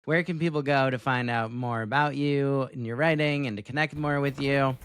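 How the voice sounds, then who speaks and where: A man's voice: medium pitch, steady tone, normal speed, a bit bright, clear, resonant tone. A man hosting a podcast interview, affirming his guest often and asking open questions. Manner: affirming, frequent "mm-hmm" backchannel. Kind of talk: casual interview conversation.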